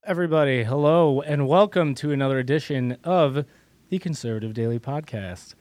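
A hoarse man co-hosting a chatty podcast, with a dark, mellow tone. He rambles and jokes, filling his talk with lots of ums and uhs. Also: medium pitch, brisk, dry recording, faint music behind. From Michigan. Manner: joking, rambling with many fillers. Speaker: podcast co-host. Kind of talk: casual political podcast chat.

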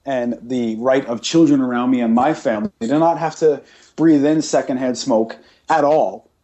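A man with a mellow voice and middling pitch hosts a podcast. He talks casually but bluntly, airing opinions with emphasis and repeating himself in lists to drive each point home. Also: normal speed, muffled recording. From Britain. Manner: emphatic, repetitive listing, blunt. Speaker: podcast host.

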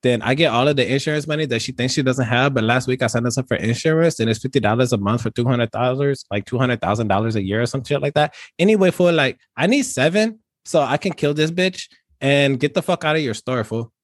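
A man doing a brash, fast-talking street hustler. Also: on the high side, very animated, a bit bright, dry recording, clean studio sound. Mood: scheming. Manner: slangy, pushy, calls everyone fool. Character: brash streetwise young man scheming over cranberries. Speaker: improv performer. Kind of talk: improv comedy sketch.

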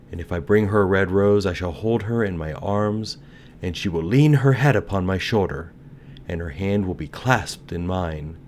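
A man's voice: low voice, slight background noise, close to an everyday voice. A man voicing a lovesick young male student in a theatrical, lamenting storybook manner.

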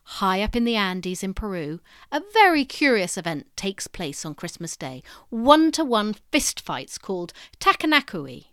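A woman's voice: mid-range voice, very animated, measured pace, hardly any pauses, a bit bright, clear, resonant tone, no room sound, clean studio sound. A woman presenting scripted educational narration in a storytelling manner, as if addressing the listener directly. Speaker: presenter of an educational history podcast.

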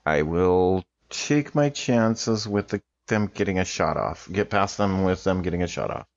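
A man's voice: low voice, lively intonation, unhurried, highs cut off. A man player in a tabletop game, talking casually with a wry, hesitant manner. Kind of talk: casual play talk.

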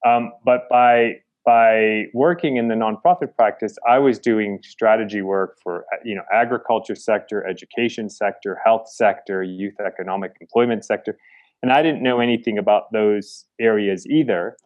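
A man from the Chicago area with a low voice answers interview questions haltingly, restarting and filling his pauses often. His audio sounds dry and dull, like a lo-fi remote connection. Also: a little mellow, no room sound. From Chicago, United States. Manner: halting, many restarts and fillers. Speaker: guest, conservation founder.

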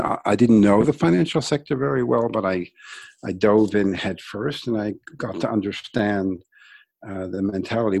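A mature American man speaks in a low register with plenty of fry and a husky rasp. He answers as an interview guest, recounting things conversationally and reflectively, often pausing to search for the next phrase. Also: a little mellow. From Brooklyn, New York, United States. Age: middle-aged or older. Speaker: interview guest, sustainability entrepreneur.

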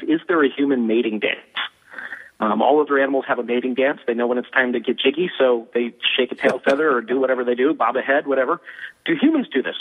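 A man talks quickly and fluently, with a husky edge to his voice. He explains his points like a teacher and often drops an "um" between them. The sound comes thin and tinny over a phone line. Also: mid-range voice. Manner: fast, fluent teaching with frequent "um". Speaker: guest expert on persuasion.

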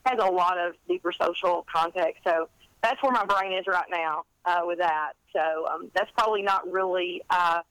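A woman in her fifties telling stories in a chatty, animated, rambling and self-deprecating way, as an interview guest. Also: on the low side, moderate pace, clear, resonant tone, no room sound, rough recording. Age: 50s. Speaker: guest author and college English teacher.

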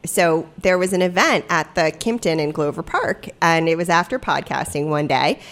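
A woman's voice: deep voice, expressive, normal speed, a bit bright. A woman co-hosting a podcast, telling a story in a chatty, rambling way with frequent laughs. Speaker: podcast co-host. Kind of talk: casual chat, storytelling. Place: small glass-walled podcast studio.

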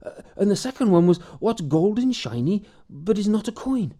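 A man's voice: high voice, sing-song, moderate pace, dark tone, pitched above the performer's own voice. A man voicing a simple, kind young village man who stammers eagerly. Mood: excited.

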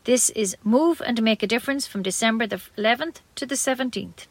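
A woman's voice: a little high, brisk, no echo. A woman with an Irish accent reading scripted local news as a community radio newsreader.

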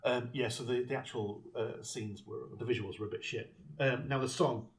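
A man's voice: medium pitch, moderate pace. A man co-hosting a podcast, giving blunt opinions on a film with lots of hesitant ums. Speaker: podcast co-host. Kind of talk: casual film-review chat.

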